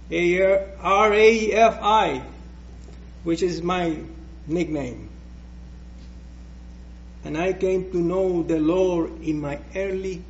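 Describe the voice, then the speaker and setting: Slow, hesitant Puerto Rican preacher. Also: male voice, sits high, muffled recording, a little hiss or hum. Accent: Puerto Rican Spanish. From Puerto Rico. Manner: slow, hesitant, with many restarts. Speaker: guest preacher. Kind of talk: personal testimony in church.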